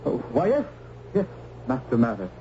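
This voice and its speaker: Old radio drama monk: big, devout, earnest and urgent, animated range, noisy recording. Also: male voice, mid-range voice, dull audio. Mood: wary, pleading. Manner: earnest, urgent, halting phrasing. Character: large, strong, devout monk smuggling out a condemned girl.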